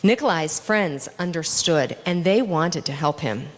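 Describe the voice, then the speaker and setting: A woman with a lowish voice reads a children's story to a gathering of all ages. Her delivery is slow and highly animated as she dramatizes the characters. Her voice rings through a large hall with long reverb. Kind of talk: expressive read-aloud children's story narration.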